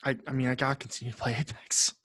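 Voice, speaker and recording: Male podcast co-host, average pitch, blunt casual talker who swears freely, dry recording. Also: little pitch movement, rapid-fire, a bit bright, no room sound. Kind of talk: casual chat.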